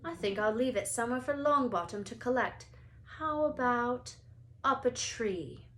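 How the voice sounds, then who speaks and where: A woman's voice: fairly high pitch, unhurried, fairly bright tone, voice pushed up. A woman voicing a nasty schoolboy bully in a storybook, with a mocking, sneering manner.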